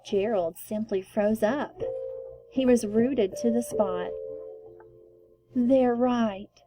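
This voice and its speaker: A woman storyteller giving an expressive read-aloud of a children's book with deliberate, emphatic phrasing. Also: medium pitch, over music, poor audio.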